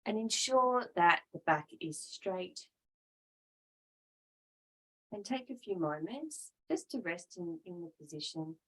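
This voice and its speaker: Woman, yoga teacher, very slow pace, slightly low pitch, calm guided meditation, pauses between short phrases. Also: slightly rough, poor audio.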